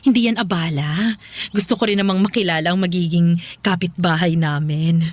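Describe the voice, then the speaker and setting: A woman's voice: lowish voice, fairly even intonation, moderate pace, natural voice. An actress playing a chatty, effusive middle-aged mother with social ambitions in a radio drama. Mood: gushing. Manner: effusive, exclamatory, gossipy. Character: chatty, gushing, ambitious mother, two-faced social climber. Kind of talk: Tagalog radio drama acting.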